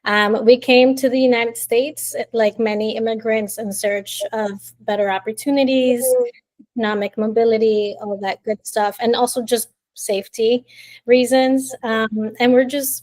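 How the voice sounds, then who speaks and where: A woman in her late twenties, from Colombia and raised in Miami, telling her story as a podcast guest in a warm, chatty way. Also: a little high. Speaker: interview guest. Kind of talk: casual storytelling in an interview.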